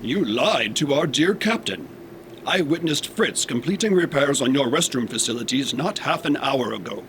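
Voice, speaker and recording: Man acting a haughty robot, lively pitch. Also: moderate pace, close to an everyday voice. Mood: threatening. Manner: pompous, formal, insulting diction. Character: haughty robot, scornful of humans, secretly soft. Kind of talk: sci-fi comedy audio drama acting. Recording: clear background noise and ambience.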